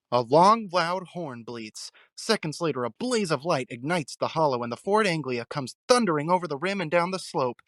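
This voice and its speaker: A man delivering dramatic scripted narration with suspenseful, deliberate phrasing. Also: on the high side, fairly bright tone, no room sound, studio quality.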